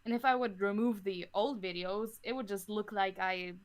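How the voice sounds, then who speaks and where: Young woman, average pitch, relaxed casual conversation, podcast guest. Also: normal speed. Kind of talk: casual chat.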